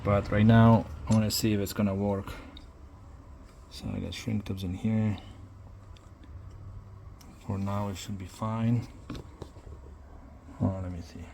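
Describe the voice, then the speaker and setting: A man narrating a casual hands-on repair tutorial, speaking haltingly and repeating words as he works. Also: on the low side, flat delivery, creaky, warm tone, a little hiss or hum. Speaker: hobbyist demonstrating a radio repair.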